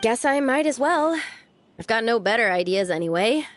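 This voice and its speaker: A woman's voice: on the high side, animated, normal speed, a little hiss or hum, lightly acted. A young woman playing an earnest, doubtful girl in a video game, speaking hesitantly.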